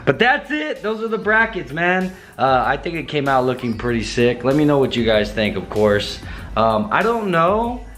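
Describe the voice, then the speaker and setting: A young man with a slightly hoarse voice, walking through a car build in a casual vlog and tossing in dude and bro. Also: medium pitch, very animated, moderate pace, a bit bright, soft background music. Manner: laid-back slangy, says dude and bro. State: slightly hoarse, losing his voice.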